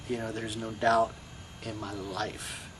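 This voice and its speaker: A man's voice: lowish voice, level tone, slow, background noise. A middle-aged man with a Brooklyn accent, talking in a rambling, reflective way to his video blog, full of you-knows and restarts. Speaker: video blogger. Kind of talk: casual personal monologue.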